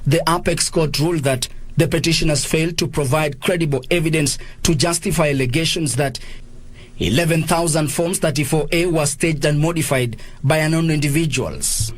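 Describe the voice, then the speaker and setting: A man's voice: a little high, moderate pace, dry recording. A man with a Kenyan accent narrating a scripted news report as a reporter.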